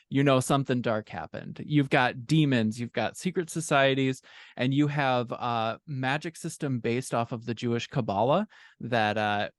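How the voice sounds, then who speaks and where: A man with a medium-pitched voice talks as a guest author on a podcast. His delivery is casual and conversational, recorded very dry with no room sound. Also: no echo. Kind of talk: casual interview chat.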